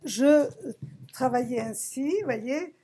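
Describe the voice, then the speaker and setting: A woman from southern Italy, a poet giving a reflective talk about her work, searching for words with emphatic pauses. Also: high voice, warm tone. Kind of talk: reflective talk at a poetry recital. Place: public library event.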